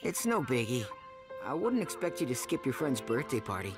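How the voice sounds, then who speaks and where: A man's voice: mid-range voice, very animated, normal speed, music in the background, lightly acted. A man voicing a stubborn, guarded teenage boy in an anime, casual and dismissive. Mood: sullen, dismissive.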